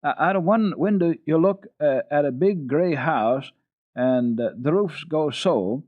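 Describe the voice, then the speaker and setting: A man voicing an eager, innocent little girl who is curious and excited, in a dramatic storybook reading. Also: a little high, measured pace, voice pushed up. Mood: earnest. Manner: excited, earnest. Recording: dull phone-like old radio recording, roomy echo.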